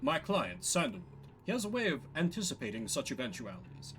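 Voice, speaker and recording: A man's voice: medium pitch, huge pitch swings, fairly quick, fairly bright tone, slight background noise. A man voicing a polite, wordy go-between character from a video game. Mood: courteous.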